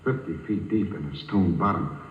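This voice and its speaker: A man's voice: deep voice, moderate pace, close to an everyday voice. An actor playing a plain-spoken, rattled spaceship crewman with a blunt, folksy manner in a 1950s radio drama. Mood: shaken. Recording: muffled, hissy, reverberant 1950 radio broadcast.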